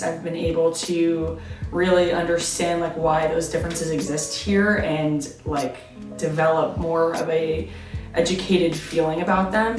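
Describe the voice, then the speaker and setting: Young woman from queens, lowish voice, earnest, says like a lot, echoey room, far from mic, soft music. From Queens, New York, USA. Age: 20s. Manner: earnest, fills with "like". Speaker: exchange student. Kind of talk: casual interview answers.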